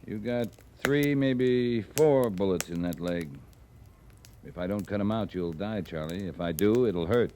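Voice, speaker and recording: An actor playing a gruff, steady frontier cavalry captain with an American accent, terse and dry, in an old-time radio western. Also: male voice, on the low side, a little slow, a little hiss or hum, natural voice. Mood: grave, plain.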